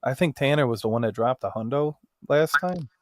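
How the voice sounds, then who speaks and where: A man co-hosting a casual livestream chat, brash and profane, with sudden loud jumps. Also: mid-range voice, a little fast, no room sound, clean studio sound. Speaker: livestream co-host.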